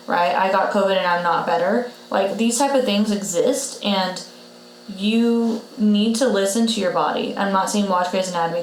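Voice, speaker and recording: Woman, podcast co-host, blunt, emphatic, repeats points, echoey room, faint background noise. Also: medium pitch. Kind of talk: casual health advice chat. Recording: roomy echo with faint noise.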